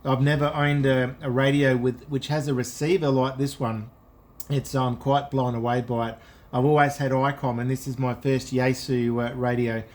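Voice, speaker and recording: A man's voice: mid-range voice, little pitch movement, moderate pace. Friendly male ham radio operator. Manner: friendly, enthusiastic. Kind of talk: casual ham radio contact.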